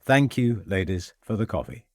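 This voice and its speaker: A man's voice: lowish voice, lively intonation, moderate pace, no echo, studio quality, natural voice. A man voicing an earnest, composed male police inspector who speaks formally.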